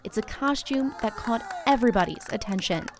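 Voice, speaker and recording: Higher-pitched American woman reporting news. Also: animated, a little fast. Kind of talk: scripted news narration.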